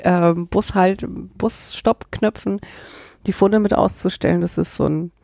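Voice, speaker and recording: A woman's voice: on the low side, fairly even intonation, measured pace, gravelly, mellow, no room sound, clean studio sound. A young woman from Hamburg chatting casually in a wry, teasing way. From Hamburg-Harburg, Germany. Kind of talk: casual chat.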